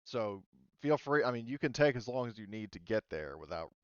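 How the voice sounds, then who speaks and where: A man's voice: mid-range voice, very animated, brisk, clear, resonant tone, no room sound, highs cut off. A man refereeing a casual tabletop game as game master, hesitant and thinking aloud as he talks.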